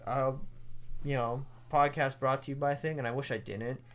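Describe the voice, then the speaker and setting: A man's voice: mid-range voice, steady tone, normal speed, a bit bright. A young man co-hosting a podcast, chatting casually in a rambling, wry way. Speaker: podcast co-host. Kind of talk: casual banter.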